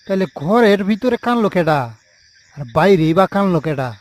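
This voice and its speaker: Man acting uneasy morgue worker, highish rustic voice. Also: expressive, a little fast. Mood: frightened, puzzled. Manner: muttering to himself, questioning, repeating words.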